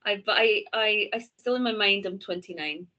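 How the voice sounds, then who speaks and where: A thirty-year-old woman with a light Scottish accent hosts a relaxed, chatty conversation, often correcting herself as she goes. Her voice sits in a middle pitch, and the recording sounds a bit dull. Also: moderate pace. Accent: mild Scottish. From Scottish-English border. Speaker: host. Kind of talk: casual chat.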